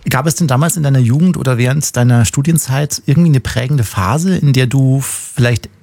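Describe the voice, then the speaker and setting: A man's voice: mid-range voice, a little fast, darkish tone, dry recording. A man from Bavaria speaking standard German as the host of a conversational book interview. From Upper Bavaria, Germany.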